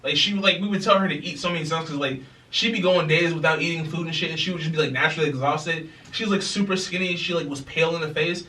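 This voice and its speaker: A young man co-hosting a podcast, telling a story in a casual, animated way, laughing and acting out other people's lines. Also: fairly high pitch, fast talker. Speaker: podcast co-host. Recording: echoey room, mic a bit far.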